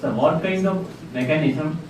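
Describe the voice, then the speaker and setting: A man's voice: fairly high pitch, measured pace, a crowd in the background. A man from India introducing himself and asking a direct question at a workshop. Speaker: workshop participant. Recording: distant mic in an echoey room with background noise.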